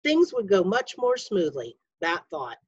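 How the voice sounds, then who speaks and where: A woman, a school media specialist, reading a children's chapter book aloud with expressive storytelling and lifted voices for the dialogue. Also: medium pitch, measured pace, breathy edge, no room sound, lo-fi sound.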